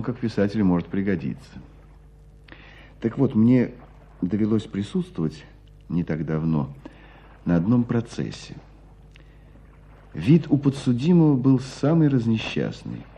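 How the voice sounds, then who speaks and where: A man acting in a radio play as a knowing hunter who tells a story with deliberate emphasis. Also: on the low side, dark tone, husky, heavily acted. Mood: measured, wry. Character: knowing male hunter recounting an old court case. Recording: dull, muffled radio play sound.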